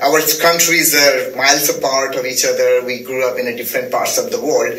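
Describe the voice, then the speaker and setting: Bright-voiced man from eastern India, echoey room. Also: medium pitch, little pitch movement, fairly quick, recorded from a distance. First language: Bengali. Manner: explains with many "you know" and "okay?" check-ins. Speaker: guest data scientist. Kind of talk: casual podcast chat. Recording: roomy sound, a little off mic.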